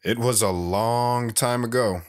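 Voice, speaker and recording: A man's voice: medium pitch, measured pace, dry recording, clean studio sound, natural voice. A man playing a wistful radio guest telling the story of his youth in an audio drama.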